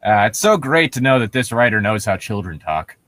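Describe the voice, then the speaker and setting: A man's voice: on the low side, very animated, fairly quick, fairly bright tone, dry recording, studio quality. A sarcastic young man joking and reading casually as co-host of a comedy story reading.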